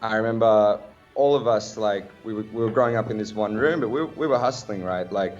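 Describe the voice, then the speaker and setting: A man's voice: on the low side, brisk, highs cut off, soft background music. An Australian man in a casual radio interview as a guest, talking in an upbeat, slangy way. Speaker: guest, startup founder.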